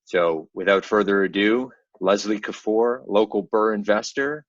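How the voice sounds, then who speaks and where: A man's voice: lowish voice, steady tone, measured pace, dry recording, dull audio. A man hosting an interview podcast in an upbeat, conversational style.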